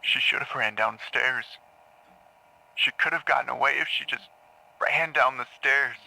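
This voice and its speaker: An actor playing a haunted man in an audio drama, confessing in halting, repetitive phrases to a grim crime. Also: medium pitch, creaky, bright, forceful tone, exaggerated voice, low-quality recording. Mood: numb, grim. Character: haunted man confessing to killing his parents in therapy. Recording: taped therapy session sound.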